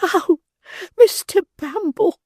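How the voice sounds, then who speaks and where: A man's voice: slow pace, a little mellow, no room sound, clean studio sound. A man doing a fluttery, swooning old-fashioned matron. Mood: distressed. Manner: high breathy swooping falsetto. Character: flustered middle-aged woman matron, fluttery.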